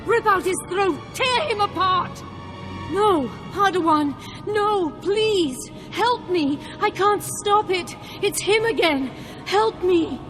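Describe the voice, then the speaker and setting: A woman's voice: extremely high pitch, slow, music in the background. Unhinged, tormented Jedi woman. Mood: frantic, terrified. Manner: theatrical, unhinged. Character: deranged female Jedi master, menacing and tormented. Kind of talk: video game dialogue.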